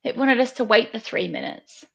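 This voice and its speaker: A woman hosting a live sew-along from her sewing room, chatting loudly and excitably over a headset on a video call. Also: on the high side, normal speed, vocal fry, lo-fi sound. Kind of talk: casual live-stream chat. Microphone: headphones with mic on a video call.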